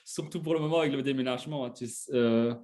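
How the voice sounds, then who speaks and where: Male, 20s, mid-range voice. Relaxed conversational guest talking about himself. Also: mid-range voice, fast. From Belgium. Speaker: interview guest. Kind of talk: casual chat, telling his own story.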